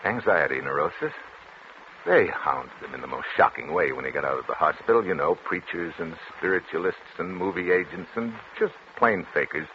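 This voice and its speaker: An actor playing a troubled, solemn doctor in a formal, deliberate 1940s radio drama style. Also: male voice, low voice, lively intonation. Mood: animated, explaining. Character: troubled, solemn male doctor and psychiatrist narrating his confession. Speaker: actor, narrator and lead. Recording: dull, noisy 1940s radio recording.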